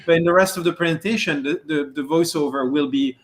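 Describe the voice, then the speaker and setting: A man's voice: on the high side, fast. A man with a strong French accent, speaking as a guest in a casual chat with many fillers and restarts. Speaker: guest panelist. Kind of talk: casual video-call chat.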